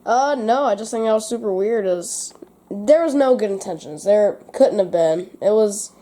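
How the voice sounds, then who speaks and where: A woman's voice: mid-range voice, lively intonation, moderate pace, mellow. A woman co-hosting a vlog, chatting casually and adding comments. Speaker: vlog co-host. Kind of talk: casual chat.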